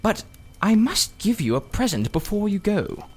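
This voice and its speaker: A man voicing a sly old gentleman magician in a storybook, with oily, cunning, drawn-out politeness and false kindness. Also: sits high, very animated, breathy edge, pitched above the performer's own voice, full character voice. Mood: falsely kindly. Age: older. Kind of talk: children's storybook character reading.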